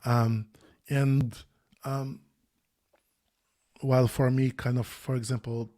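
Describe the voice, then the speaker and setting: A man's voice: mid-range voice, level tone, takes it slowly, mellow. A man, a guest on a video call interview, speaking thoughtfully with many restarts and fillers. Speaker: interview guest, former search quality analyst.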